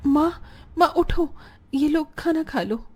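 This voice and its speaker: A woman playing tender, sorrowful women of a poor family in an animated moral story. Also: high-pitched, takes it slowly. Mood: caring. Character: poor, caring mother or dutiful daughter, sorrowful.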